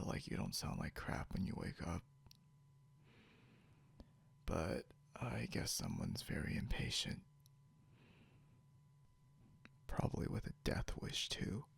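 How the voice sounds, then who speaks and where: A man playing a grumpy, teasing, possessive young beast-eared hunter in an intimate ASMR roleplay, drawling drowsily and half whispering with a breathy, gravelly voice. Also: deep voice, full character voice. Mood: sleepy, grumbling.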